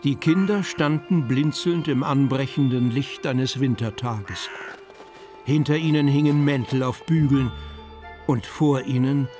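A man's voice: mid-range voice, unhurried, darkish tone, soft background music, lightly acted. A man narrating a children's fantasy audio drama as its storyteller. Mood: storytelling.

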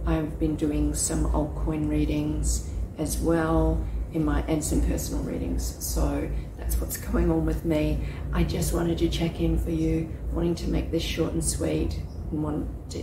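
Woman, 40s, low and slightly croaky, chatty rambling vlog; echoey, noisy outdoor courtyard. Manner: rambling, chatty, many restarts and fillers. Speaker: psychic reader and vlogger. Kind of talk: casual check-in vlog. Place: small courtyard with potted palts, outdoors. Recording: echoey with loud ambient noise.

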